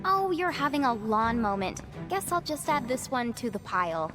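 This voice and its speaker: A wry young heroine. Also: female voice, a little high, very animated, normal speed. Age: young adult. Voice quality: dry, sarcastic. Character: sharp, level-headed young heroine. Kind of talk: video game voice acting. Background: game music.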